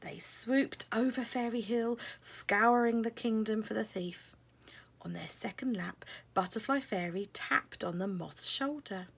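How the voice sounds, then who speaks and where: Breathy woman reading a children's storybook. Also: on the high side, unhurried. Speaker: storybook narrator. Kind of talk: scripted children's read-aloud narration.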